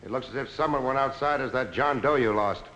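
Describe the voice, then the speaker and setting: A man's voice: medium pitch, a little fast, a bit bright, close to an everyday voice, rough recording. An American man acting a tough, sceptical police lieutenant in a 1940s crime film, clipped and authoritative. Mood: brisk, probing. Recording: hissy old film soundtrack.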